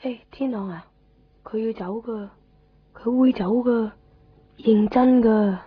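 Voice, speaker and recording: An actress playing a childlike, fretful young student in a radio drama, with a plaintive, whiny way of talking. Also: female voice, fairly high pitch, slow pace. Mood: earnest. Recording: dry, muffled 1990s radio drama.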